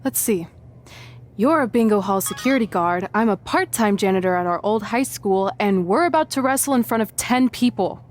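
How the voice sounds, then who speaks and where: A woman's voice: medium pitch, expressive, moderate pace, soft background music, close to an everyday voice. An actress playing a frank, practical young female wrestler in a video game, speaking in a blunt conversational way. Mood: frustrated. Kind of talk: video game cutscene acting.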